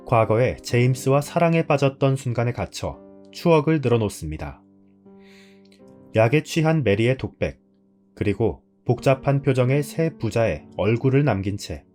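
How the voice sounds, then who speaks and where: A man's voice: mid-range voice, darkish tone. A man hosting a scripted review show, narrating and reflecting on a drama in a storytelling style. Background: background music.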